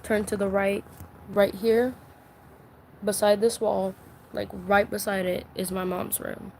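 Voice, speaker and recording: A woman speaks very slowly on a live stream from her bedroom, pausing often and restarting her sentences. Her tone is soft and confiding, close to tears, and a faint hum of room ambience sits behind her. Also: medium pitch, level tone, vocal fry. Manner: slow, halting, many fillers and restarts. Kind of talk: emotional live-stream vent.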